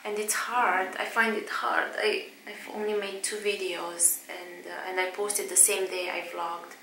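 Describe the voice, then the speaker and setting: A woman's voice: mid-range voice, flat delivery, measured pace, pushed and bright, echoey room, slight background noise, low-quality recording. A woman in her thirties with a Romanian accent, talking hesitantly to the camera at home with many fillers and restarts. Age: 30s. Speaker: vlogger. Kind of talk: casual talk to camera. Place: bedroom at home, indoors.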